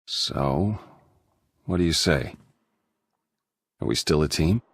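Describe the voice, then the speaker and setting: An actor playing a reckless, lovesick male spy in a game cutscene, earnest and halting. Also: animated, no echo, exaggerated voice. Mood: apologetic, hopeful. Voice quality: low, husky voice. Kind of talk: video game cutscene acting.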